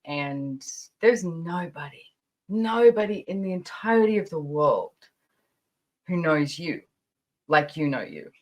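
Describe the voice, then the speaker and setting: A woman in her fifties with a low-pitched voice speaks as a podcast host. She talks in a casual, personal storytelling style, chatty and emphatic, often repeating phrases to drive them home. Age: 50s.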